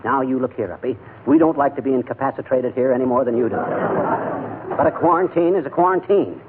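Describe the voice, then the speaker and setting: An actor playing a scheming, penny-pinching husband, folksy and wisecracking, in a 1940s radio comedy. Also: male voice, medium pitch, fast talker, breathy edge, natural voice. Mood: sarcastic. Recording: tinny, faintly noisy 1940s radio recording.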